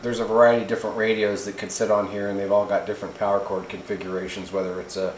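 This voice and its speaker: A man hosting a casual, unscripted gear review at home, talking in a halting, rambling, folksy way. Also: fairly low pitch, level tone. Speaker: video host reviewing radio gear. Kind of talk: casual unscripted product unboxing and demo. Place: indoors in a home kitchen. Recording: echoey room with faint noise.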